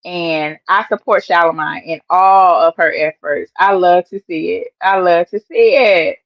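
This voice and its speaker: A woman hosting an online news show in casual livestream chat, slightly hoarse, emphatic and given to repeating her points. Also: lowish voice, measured pace, no room sound. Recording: dull lo-fi, dry sound.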